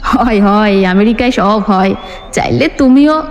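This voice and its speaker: A woman's voice: mid-range voice, a little slow, soft background music, lightly acted. A woman voicing a chatty, admiring, teasing young female student in an audio story. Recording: long hall-like reverb.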